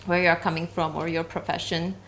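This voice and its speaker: A young woman hosts a conversation, her voice a little lower than most. She asks reflective questions gently and encouragingly, with faint background noise. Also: little pitch movement, fast. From China. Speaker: host.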